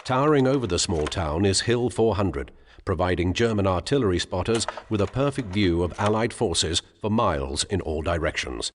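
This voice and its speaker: Solemn war game narrator, low voice, formal n measured, big reverb, noisy background. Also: male voice, expressive. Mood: grave, measured. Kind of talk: scripted documentary-style war narration.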